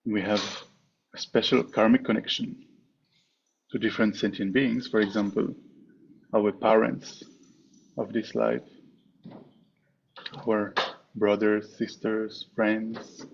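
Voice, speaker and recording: A man with a fairly low voice leads a guided meditation as a teacher. He speaks in short, measured phrases with frequent pauses. The recording sounds dull and muffled, like an online call, with some noise behind him. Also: flat delivery, creaky.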